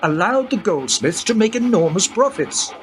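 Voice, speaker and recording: A man's voice: high voice, very animated, moderate pace, a bit bright. An actor playing a knowing guide who patiently explains money to a young woman, in a radio play. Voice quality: patient, lecturing. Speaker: guide character. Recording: background noise.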